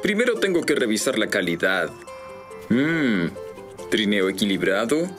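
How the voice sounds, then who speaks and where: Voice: mid-range voice, sing-song, measured pace, over music. An actor voicing a friendly, fussy talking reindeer in a children's cartoon, speaking in a careful, methodical way. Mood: careful, businesslike. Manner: methodical, careful, announcing checks. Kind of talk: dubbed children's cartoon acting.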